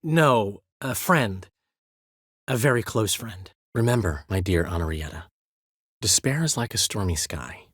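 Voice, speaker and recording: A man's voice: lowish voice, animated, a little slow, husky, dry recording, clean studio sound. An actor voicing a vain, witty male bard in a video game, speaking in flamboyant, florid phrases. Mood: lively. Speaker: game character. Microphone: dry studio recording.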